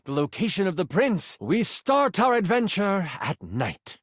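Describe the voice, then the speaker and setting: A man's voice: sits high, huge pitch swings, a little slow, dry recording, studio quality, full character voice. An actor playing a living twenty-sided die in a video game, a theatrical, nerdy male game master who narrates adventures and banters. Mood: dramatic storytelling. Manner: theatrical, swinging from exasperated outbursts to hushed storytelling.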